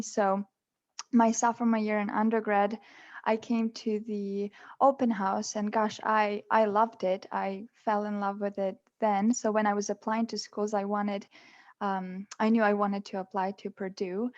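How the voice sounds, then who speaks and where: A young woman originally from Ukraine, a veterinary student, telling her story lively and with frequent laughter on a video call. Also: mid-range voice. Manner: lively, laughs often, slight restarts. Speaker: fourth-year veterinary student. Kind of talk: casual panel talk on a video call. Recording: muffled video-call audio.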